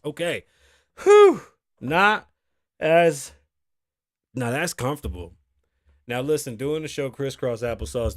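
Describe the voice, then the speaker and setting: A man in his thirties with a hoarse voice, hosting a casual podcast monologue from a show set, loose and profane, joking and tossing asides to his crew. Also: medium pitch, fairly bright tone, no room sound, studio quality. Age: 30s. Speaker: host. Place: show set in Las Vegas.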